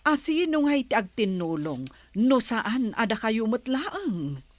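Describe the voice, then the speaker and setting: A woman's voice: fairly high pitch, big pitch swings, slow, no echo. A woman playing a weary, patient village mother who pleads with and admonishes her children, in an Ilocano-accented radio drama. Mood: pleading. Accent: Ilocano, northern Philippines.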